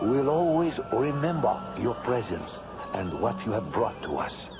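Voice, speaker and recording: Courtly alien lord, expressive. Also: male voice, mid-range voice, measured pace. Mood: grateful, solemn. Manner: courtly, formal. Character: gracious, dignified alien lord. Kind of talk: radio drama acting. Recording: dull lo-fi old radio recording. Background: music.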